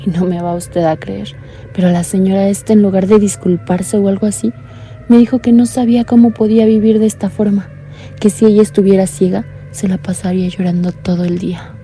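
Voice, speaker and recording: Brief: actress as a young blind woman caller, very dark mellow tone, narrow pitch, soft and earnest. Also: little pitch movement, a little fast, faint music behind, close to an everyday voice. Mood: uneasy. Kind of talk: radio drama narration.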